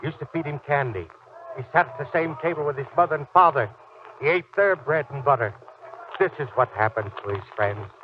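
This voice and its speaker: A male actor as a fiery, plain-spoken ex-Marine family man in a 1940s radio drama. His voice sits on the high side for a man and blusters along. The recording is muffled, with some noise. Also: a little fast, close to an everyday voice. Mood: bitter, accusing. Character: gruff, stubborn ex-Marine father, suspicious and fiery.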